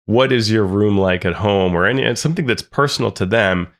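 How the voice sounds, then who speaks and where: A man's voice: on the low side, a little fast. A man co-hosting a gaming podcast, talking chattily with lots of asides about running games. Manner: chatty, filler-heavy, full of asides. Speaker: podcast co-host. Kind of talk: casual podcast discussion.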